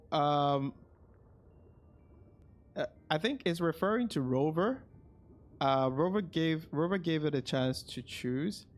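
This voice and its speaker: A man doing casual live game commentary as a streamer, thinking aloud hesitantly. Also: fairly high pitch.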